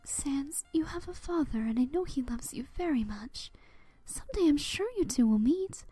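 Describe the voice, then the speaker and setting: A woman's voice: high voice, animated, normal speed, mellow. A woman playing a tender, proud skeleton mother in a dramatic fan comic dub, cooing and affectionate. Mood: tender, reassuring.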